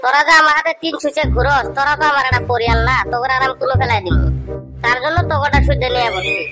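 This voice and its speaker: A high-pitched, fast-talking cartoon villager voiced by a man, brazen and full of schemes. He wheedles and banters in a rural Bengali dialect. The voice is electronically raised, with music playing behind it. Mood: wheedling. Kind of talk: comic cartoon dialogue.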